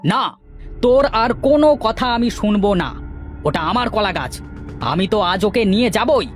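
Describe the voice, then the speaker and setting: A man's voice: high voice, lively intonation, measured pace, faint music behind. A man voicing a greedy, hot-tempered older village orchard owner in a cartoon, blustering and indignant. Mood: angry, accusing. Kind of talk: children's moral cartoon acting.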